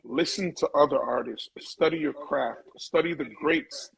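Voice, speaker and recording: A man's voice: a little high, normal speed, gravelly, a little hiss or hum, low-quality recording. A middle-aged man from Brooklyn with a Jamaican accent, giving conversational advice as an interview guest in an emphatic, preachy way. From Brooklyn, New York. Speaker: interview guest, musical elder.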